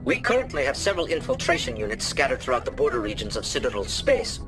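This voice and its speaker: An actor playing a formal alien councilor delivering a briefing in a video game, over music. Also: male voice, mid-range voice, sing-song, fast talker, natural voice.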